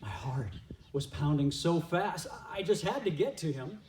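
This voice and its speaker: A man playing a loving, forgiving father who longs for his son in a church reader's theater skit, speaking deliberately and with heartfelt emphasis. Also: a little high, animated, a little mellow. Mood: joyful, eager. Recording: echoey room, distant mic, noisy.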